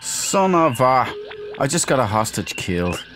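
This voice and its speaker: A man's voice: mid-range voice, very animated, unhurried. A man doing casual gameplay commentary, informally thinking aloud as he hosts a let's play. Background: game music.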